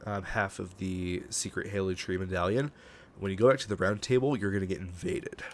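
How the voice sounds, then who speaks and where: A man casually narrating a game walkthrough, relaxed and chatty with lots of ums, sounding stuffed up from a cold. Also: deep voice, a little fast, slight background noise. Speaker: gaming channel host. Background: game music.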